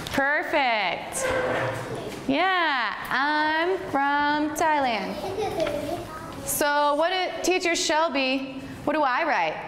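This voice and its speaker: A woman's voice: high-pitched, gravelly. A woman in her twenties from the United States teaching English to young children, patient and encouraging, often praising. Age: 20s. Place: classroom in front of a whiteboard. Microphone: far camera. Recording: echoey room with long reverb and noise.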